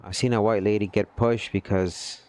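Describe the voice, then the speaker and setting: A man's voice: lowish voice, fairly even intonation, moderate pace, darkish tone, clear, resonant tone. A man chatting casually with his livestream viewers, earnest and rambling, often checking in with "you know what I mean". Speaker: gaming livestreamer.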